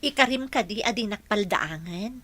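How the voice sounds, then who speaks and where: An actress with an Ilocano accent playing a gentle, polite young woman in a radio drama. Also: mid-range voice, animated, moderate pace. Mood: earnest. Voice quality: soft-spoken, polite, says sir often.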